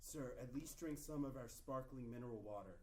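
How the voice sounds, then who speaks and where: A man's voice: medium pitch, fairly quick, mellow, natural voice. A man playing a creepy, pushy, oily caterer in a comedy table read. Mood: pushy, unctuous. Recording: roomy table read with background noise.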